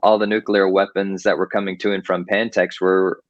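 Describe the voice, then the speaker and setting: Male author guest from Texas, rambling chat, low voice, lo-fi recording. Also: flat delivery, moderate pace, no echo. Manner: rambling, many restarts and fillers. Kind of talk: casual interview talk. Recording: dull lo-fi, dry.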